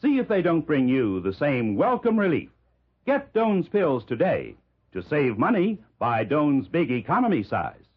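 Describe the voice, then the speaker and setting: A man with an American accent reading a vintage radio commercial with an emphatic, measured sales delivery. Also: on the high side, wildly swooping pitch, slightly rough. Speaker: commercial announcer. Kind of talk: 1950s radio advertisement read. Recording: tinny, narrow-band old radio recording.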